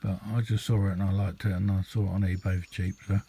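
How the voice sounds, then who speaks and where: Mellow, low-voiced British man, rambling casually. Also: level tone, quick pace. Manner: rambling, hesitant, easygoing. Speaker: hobbyist radio demonstrator. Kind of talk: casual, unscripted video demonstration. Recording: clearly noisy amateur home recording.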